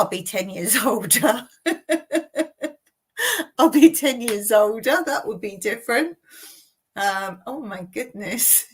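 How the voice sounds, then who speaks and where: A woman in her late fifties hosting a casual live Q&A, reading viewer comments aloud and chatting about them with frequent laughs. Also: mid-range voice. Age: 50s. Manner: chatty, laughs often between remarks. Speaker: channel host. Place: home in rural Cyprus.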